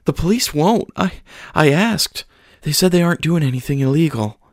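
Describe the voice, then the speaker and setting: A man's voice: on the high side, expressive, moderate pace, no room sound, studio quality, voice pushed up, close to an everyday voice. A man putting on a sobbing, pleading mother. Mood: distraught pleading. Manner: tearful, stammering, breaking off mid-sentence. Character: distraught middle-aged mother, sobbing and pleading.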